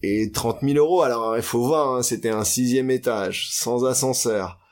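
A man's voice: medium pitch, moderate pace. A young man from Paris, telling his life story candidly in a casual interview, with many fillers and restarts. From France. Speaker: guest.

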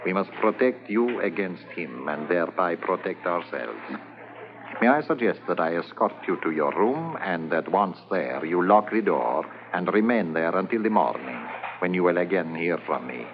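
An actor playing a formal, wary foreign smuggler in a 1950s radio drama. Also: male voice, low voice, expressive, moderate pace. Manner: formal, courteous, foreign-accented. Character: smooth foreign smuggler man, suave and wary. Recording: dull old radio transcription, hiss.

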